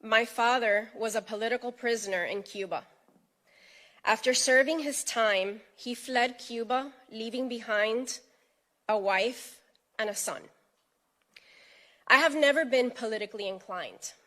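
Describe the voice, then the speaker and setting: A woman's voice: on the high side, measured pace, fairly bright tone. A middle-aged woman from Miami giving an earnest, emphatic prepared speech at a press event. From United States (Miami).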